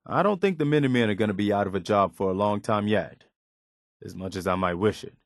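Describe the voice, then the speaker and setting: A male actor as a loyal militia officer from a wasteland video game. His voice sits on the low side and is recorded dry and close. He speaks with measured, conscientious earnestness and a touch of weariness. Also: dry recording, close to an everyday voice. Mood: relieved, sober. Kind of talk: video game character dialogue.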